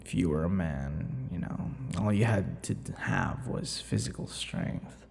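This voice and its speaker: A man voicing a possessive, centuries-old vampire in an intimate ASMR boyfriend roleplay, teasing and breathy, often dropping toward whispers. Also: sits low, huge pitch swings, unhurried, darkish tone, slight background noise, exaggerated voice. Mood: bitter, confiding. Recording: long reverberant echo.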